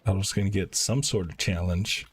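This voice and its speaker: A man's voice: low voice, steady tone, moderate pace, slight background noise. A man doing casual gameplay commentary as he streams a video game. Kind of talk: casual gameplay commentary, reading quest dialogue aloud. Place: indoors, live stream.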